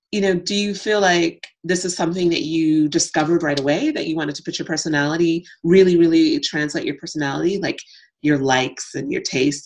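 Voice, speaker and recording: Woman, interview host, video call, enthusiastic, breathy voice, many fillers. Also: low-pitched. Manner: enthusiastic, affirming, lots of fillers.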